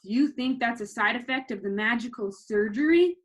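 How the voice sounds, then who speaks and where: Woman doing a storybook sidekick, curious and worried, higher voice, asking stuff. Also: lively intonation, normal speed, natural voice. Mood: amazed. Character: concerned, curious comic-book sidekick friend named Rock.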